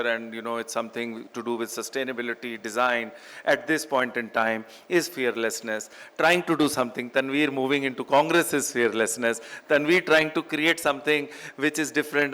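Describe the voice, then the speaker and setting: A man's voice: a little high, fairly bright tone. A middle-aged man with an Indian accent giving an emphatic motivational business keynote, repeating phrases for effect. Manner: emphatic, repetitive phrasing, rhetorical questions. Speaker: keynote speaker, business executive. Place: large indoor event hall. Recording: echoey hall with long reverb.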